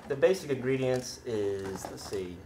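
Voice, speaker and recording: A man's voice: mid-range voice, animated, a little slow, crowd noise, poor audio. A young American man, a firefighter, chatting casually while he cooks. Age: young adult. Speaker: firefighter cooking a recipe. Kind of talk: casual chat. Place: firehouse kitchen, indoors. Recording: clear kitchen noise, sizzling pan.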